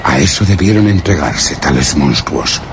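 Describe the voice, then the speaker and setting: A man's voice: extremely low pitch, expressive, moderate pace, heavy background noise. An actor from a radio horror play, with a Spanish accent from Spain, playing a grave narrator who recounts his expedition in solemn, theatrical, ornate phrases. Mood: ominous.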